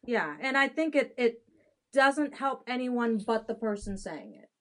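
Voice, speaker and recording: Candid woman with a fairly high voice. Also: lively intonation, a little slow, a little hiss or hum. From Haiti. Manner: candid, frequent restarts and laughs. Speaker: guest, adoption consultant. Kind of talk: casual interview conversation.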